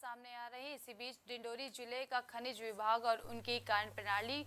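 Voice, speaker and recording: A woman reads the news in a formal, even newsreader manner. Her voice sits high and sounds bright and clear. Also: moderate pace. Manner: crisp formal newsreader delivery. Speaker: news anchor. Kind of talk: scripted news reading.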